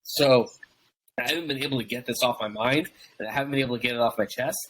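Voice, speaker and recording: Bright-voiced rambling young podcast host. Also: male voice, medium pitch, quick pace, poor audio. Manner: rambling, many fillers and restarts. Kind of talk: casual wrestling podcast chat.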